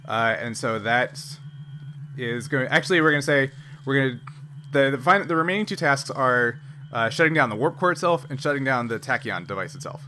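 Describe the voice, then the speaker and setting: Bright-voiced man running a tabletop game. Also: medium pitch, expressive, a little fast. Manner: hesitant, improvising explanations. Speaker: game master. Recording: clear background noise with ambience.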